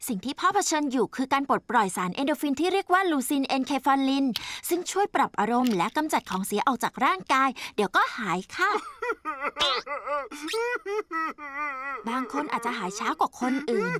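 High-voiced brainy little cartoon girl. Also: big pitch swings, fast, hardly any pauses, a bit bright. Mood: clinical. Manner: formal, technical lecturing. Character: precocious little four-year-old girl scientist, matter-of-fact. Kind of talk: animated cartoon dub.

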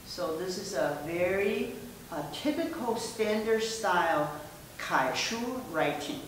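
A woman's voice: on the low side, animated, takes it slowly. A woman, presenting as a museum director in a friendly, gesturing way with many fillers. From Taiwan. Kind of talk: casual gallery presentation. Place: indoor museum room. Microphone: far camera mic. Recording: echoey room, mic far off, faint hiss.

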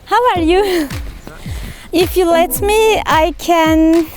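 A young Turkish woman vlogger chatting with tourists in halting English, laughing often. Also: extremely high pitch, steady tone. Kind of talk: casual street interviews. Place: outdoors in a tourist town. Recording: background music over the talk.

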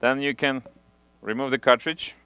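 Man with a smooth, dry voice. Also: mid-range voice, steady tone, bright, forceful tone, no echo. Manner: halting, non-native phrasing with restarts. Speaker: audio equipment reviewer. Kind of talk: hands-on equipment demonstration. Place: small home studio, indoors. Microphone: headset mic.

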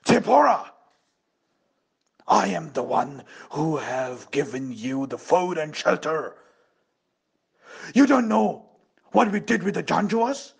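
Ruthless crime lord played by a man, slow and domineering, gravelly strained voice, audio drama. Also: high voice, a bit bright, heavily acted. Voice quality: hoarse, strained, croaky. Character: ruthless crime boss who calls himself God. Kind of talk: amateur audio drama acting.

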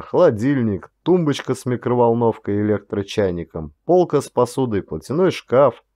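A man's voice: medium pitch, a little mellow, no room sound, clean studio sound. A man reading a first-person audiobook thriller as a dramatic scripted narration.

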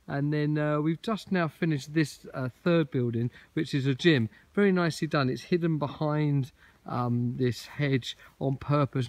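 A man's voice: on the high side, darkish tone. A man giving a casual, enthusiastic walkaround tour of a garden gym he built, filmed outdoors on a handheld phone, with frequent ums and uhs. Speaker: garden building company representative. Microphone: phone camera held while walking.

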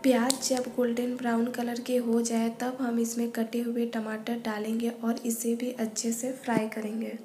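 Calm woman narrating a home recipe. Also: a little high, normal speed, few pauses. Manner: calm, methodical, listing each step and ingredient. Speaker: cooking channel host. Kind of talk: step-by-step recipe voice-over. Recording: echoey room voice-over with faint music.

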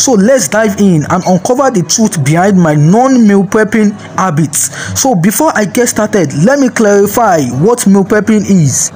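A man's voice: high-pitched, a little mellow. A man narrating a scripted health video as the channel host, reading evenly with a sometimes sing-song lilt. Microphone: voice-over. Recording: faint background music.

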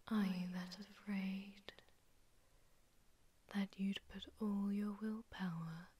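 A woman voicing a seductive, haughty female demon in a soft, breathy ASMR whisper, condescending and teasing, with an echoing distortion effect. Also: medium pitch, fairly even intonation, slow. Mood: menacing, disdainful. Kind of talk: whispered ASMR audio roleplay.

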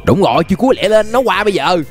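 A young man with a Southern Vietnamese accent giving excited, exclamatory gameplay commentary, repeating phrases and dropping in English interjections. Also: sits high, rapid-fire, faint music behind. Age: young adult. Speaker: gaming YouTuber. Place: indoor gaming room.

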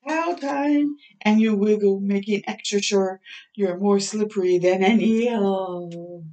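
Slow-paced woman reading to children, slightly distant. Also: medium pitch, muffled recording. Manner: expressive, child-directed, drawing out words. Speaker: children's storytime host. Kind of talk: read-aloud picture book narration with asides. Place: small home bathroom, indoors. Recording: slightly distant microphone in a room.

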